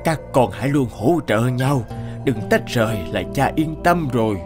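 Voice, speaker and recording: Man playing a slow, weary old father. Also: mid-range voice, lively intonation, over music, lightly acted. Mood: gently encouraging. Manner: slow, gentle, earnest. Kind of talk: cartoon fairy tale acting.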